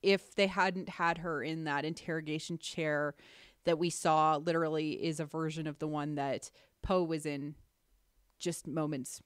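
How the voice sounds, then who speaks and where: A woman's voice: low-pitched, steady tone, measured pace, clear, resonant tone, dry recording, clean studio sound. A woman hosting a podcast, talking through ideas analytically in an earnest, emphatic way. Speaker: podcast host.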